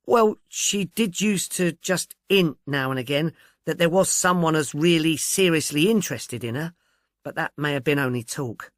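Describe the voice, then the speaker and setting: A man's voice: fairly high pitch, normal speed, no echo, studio quality, voice pushed up, natural voice. A man voicing a timid, deferential working-class young woman in an audiobook, speaking in a hesitant, polite, earnest way. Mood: polite, unsure. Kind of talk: audiobook character dialogue.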